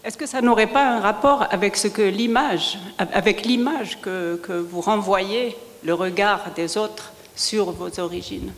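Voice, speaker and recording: A woman's voice: medium pitch, measured pace, few pauses. A woman in the audience asking the panel an earnest, probing question. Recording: reverberant hall with faint room noise.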